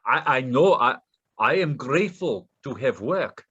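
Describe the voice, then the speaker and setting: A man's voice: mid-range voice, lively intonation, measured pace, pushed and bright, slightly rough, highs cut off, heavily acted. A man acting a desperate, submissive Russian scammer in readers theater, stammering and deferential. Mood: anxious, grateful.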